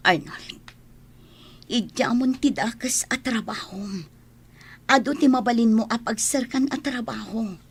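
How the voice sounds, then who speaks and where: A woman's voice: fairly high pitch, a little slow, pauses often, slightly rough. An actress playing a worried, long-suffering wife and mother in an Ilocano radio drama, earnest and emotional, with sighs. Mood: firm, pleading.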